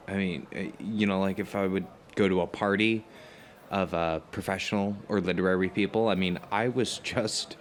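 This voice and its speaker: A man playing an anxious, self-deprecating dinner guest in an audio drama, talking in a halting, stammering, rambling way. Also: sits low. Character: anxious, self-deprecating New Yorker who loves comfort. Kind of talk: naturalistic audio drama dialogue.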